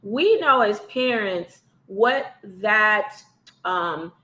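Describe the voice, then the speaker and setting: A woman's voice: mid-range voice, animated, extremely slow, bright, forceful tone, poor audio. A woman hosting a podcast interview, asking long questions and encouraging her guest with affirmations. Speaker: podcast host.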